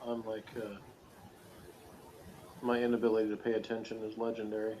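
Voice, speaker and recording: A man's voice: lowish voice, monotone, a little fast, a little mellow. A man chatting casually as a co-reviewer, with a self-deprecating way of talking. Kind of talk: casual chat. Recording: dull lo-fi audio, faint noise.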